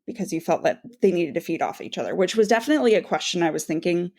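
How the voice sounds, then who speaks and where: Lower-voiced woman co-hosting a book podcast. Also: lively intonation, fast, a little mellow. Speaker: podcast co-host, interviewer. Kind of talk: conversational book-talk interviewing.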